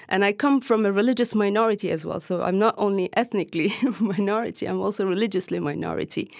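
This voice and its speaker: Thoughtful woman journalist, lowish voice. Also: gravelly, dry recording, clean studio sound. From Turkey. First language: Arabic. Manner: thoughtful, restarts phrases, laughs lightly. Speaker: journalist guest. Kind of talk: podcast interview.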